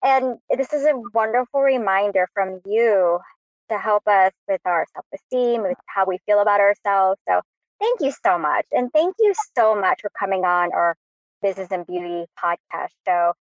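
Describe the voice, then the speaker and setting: A woman's voice: mid-range voice, lively intonation, moderate pace, dry recording, muffled recording. A woman hosting a podcast interview, complimentary and enthusiastic. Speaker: podcast host.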